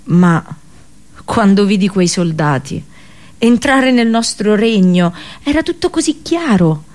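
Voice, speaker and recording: A woman performing an intense theatrical radio monologue as an anguished, unheeded princess seer, in deliberate, broken phrases, in Italian radio drama style. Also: mid-range voice, animated, darkish tone. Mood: urgent, bitter. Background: music.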